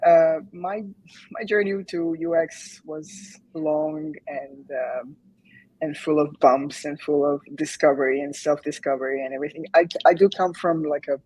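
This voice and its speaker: A woman's voice: deep voice, fairly even intonation, normal speed, darkish tone, slightly rough, no echo, poor audio. A woman in her thirties from Croatia, chatting casually as an interview guest, hesitant with many fillers and restarts. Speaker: guest, UX design graduate.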